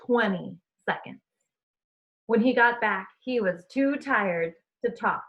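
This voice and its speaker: A woman teacher reading a storybook aloud expressively, addressing young listeners in an animated way. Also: a little high, lo-fi sound.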